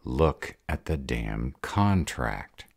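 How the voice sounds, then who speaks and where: A man playing a gruff, hot-tempered were-dragon protective of his mate in an audio roleplay, gravelly, blunt and growling. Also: extremely low pitch, sing-song, drawn-out words, no room sound, clean studio sound, exaggerated voice. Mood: angry, threatening. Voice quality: gravelly, raspy. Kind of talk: audio roleplay drama acting.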